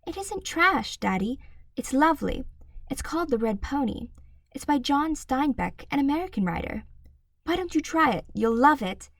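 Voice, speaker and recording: A woman's voice: high-pitched, sing-song, normal speed, dry recording, clean studio sound, voice pushed up. A woman voicing a polite, eager little girl in a storybook reading. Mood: pleasant, eager. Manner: pleasant, eager, pleading.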